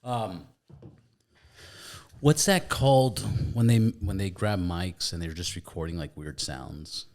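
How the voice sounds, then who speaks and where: A man hosting a podcast at home in casual chat, loose and relaxed, swearing in passing. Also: low-pitched, slow pace. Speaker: podcast host.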